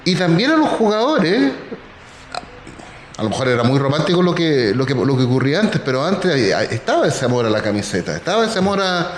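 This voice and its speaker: A man's voice: a little high, very animated, brisk. A man hosting a casual football talk, with many fillers. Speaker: podcast host. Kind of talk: casual chat. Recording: echoey room with faint noise.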